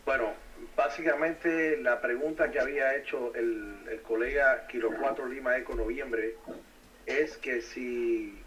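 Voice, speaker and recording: A man's voice: medium pitch, fairly even intonation, moderate pace, fairly bright tone, far from the mic, poor audio. A man with Spanish as his native language, talking casually as a bilingual co-host on a live stream. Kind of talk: casual live-stream discussion, switching between English and Spanish.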